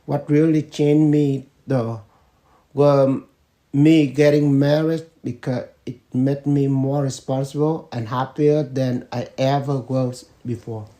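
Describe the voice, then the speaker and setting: Father in his fifties; slow, mellow, measured delivery. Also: medium pitch, steady tone. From Vietnam. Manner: slow, measured answers with pauses and fillers. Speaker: interviewee, father. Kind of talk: family interview.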